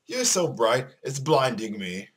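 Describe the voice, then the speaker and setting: A man's voice: medium pitch, very animated, a little slow, fairly bright tone, slightly rough, full character voice. A man reading the part of a dry, sardonic teenage schoolboy who narrates his life in a visual novel.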